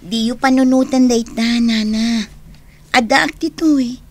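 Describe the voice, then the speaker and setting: A young female social worker in a radio drama, kindly and reassuring. Her voice is fairly high. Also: unhurried, darkish tone. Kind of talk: radio drama acting in Ilocano.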